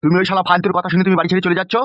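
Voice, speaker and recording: Man voicing a rapid-fire blustering cartoon politician. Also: sits high, completely flat delivery, no echo, dull audio, lightly acted. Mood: possessive demanding. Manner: blustering, crude, exclaiming in repeats. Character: bullying, lecherous husband and local politician. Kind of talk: comic cartoon dialogue.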